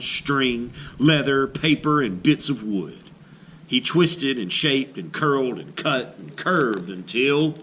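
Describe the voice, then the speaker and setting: Male art teacher reading a storybook to kids, bright and animated, faint room noise behind. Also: mid-range voice. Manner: expressive storybook reading, slowing on key phrases. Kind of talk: children's read-aloud.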